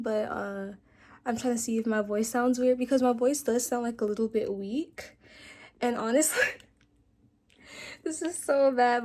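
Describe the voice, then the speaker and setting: A woman's voice: on the high side, a little mellow. Sick young woman with a weak voice. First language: English. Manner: chatty, laughing, lots of "like" fillers. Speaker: vlogger and language student. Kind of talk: casual vlog chat mixing English and learner Korean.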